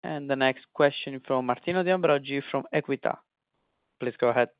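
A man's voice: medium pitch, fairly quick, clean tone, no echo. A man working as a conference call operator, making short scripted announcements. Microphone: conference call line. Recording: dull, dry phone line.

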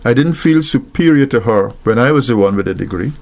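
An older man from Guyana speaks in a slow, reflective way about marriage and equality. His voice has a gravelly, creaky edge, and he often stops, restarts, and fills gaps with soft hesitations. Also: medium pitch, animated. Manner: thoughtful, halting, many fillers and restarts.

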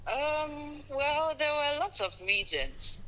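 A woman's voice: high-pitched, sing-song, slow, bright, forceful tone, rough recording. A woman chatting casually as a caller. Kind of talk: casual chat. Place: on the other end of a phone call.

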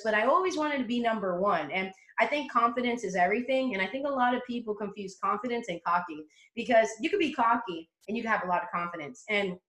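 A woman's voice: mid-range voice, a bit bright, reverberant, low-quality recording. A woman guest on a casual podcast interview, talking brashly and confidently and swearing freely. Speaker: interview guest, motivational coach.

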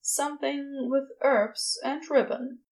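A woman with a fairly high voice reads fiction aloud. She is the narrator, delivering scripted storytelling prose. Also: steady tone, slow pace, a little mellow.

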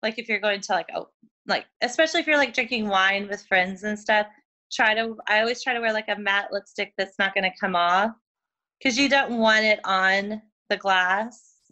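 A woman in her forties from Oklahoma, chatting casually as a guest over a video call, full of "like" fillers and quick laughs. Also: medium pitch, sharp tone, highs cut off. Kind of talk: casual chat.

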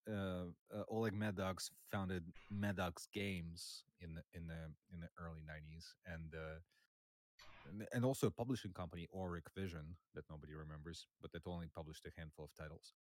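Very deep-voiced man from Russia. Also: steady tone, normal speed, dry recording. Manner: hesitant, many fillers. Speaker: guest. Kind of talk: casual podcast chat.

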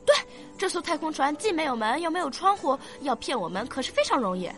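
A woman's voice: high voice, sing-song, fast talker, fairly bright tone, soft background music. A woman playing a curious, stubborn young daughter in a science-fiction radio drama, earnestly reasoning like a little scholar. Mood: eager arguing.

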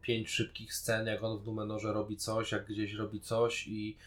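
A man's voice: fairly low pitch, completely flat delivery, normal speed, a bit bright. A man co-hosting a podcast, casually talking through and arguing his point of view. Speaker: podcast co-host reviewing a TV series. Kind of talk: casual discussion.

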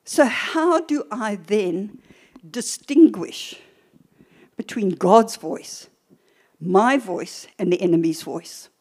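Slow, deliberate older woman preaching. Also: mid-range voice, expressive, darkish tone. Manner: deliberate, emphatic, with short pauses and repetition. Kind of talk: church sermon teaching.

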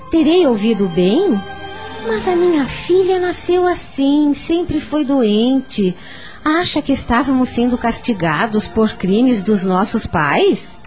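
Brazilian radio drama role: troubled mother of a sick child, pleading, highly animated pitch, music underneath. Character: anguished, questioning mother of a sick daughter. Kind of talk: radio soap opera acting.

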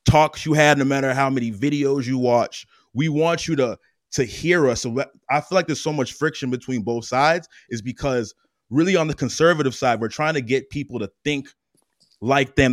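A man's voice: medium pitch, moderate pace, no room sound, studio quality. A man in a casual podcast discussion, making his point steadily and with emphasis. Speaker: podcast guest.

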